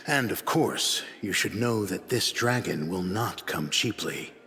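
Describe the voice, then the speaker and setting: An actor playing a proud, cool-headed assassin for hire in a fantasy video game, speaking formally and with measured condescension in a deep, dignified voice. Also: male voice, animated, sharp tone, long reverb tail, full character voice. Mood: businesslike.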